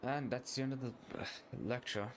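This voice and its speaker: A man's voice: medium pitch, steady tone, brisk, background noise. A man making dry offhand remarks while playing a video game. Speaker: game player. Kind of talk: let's-play commentary. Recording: game soundtrack behind.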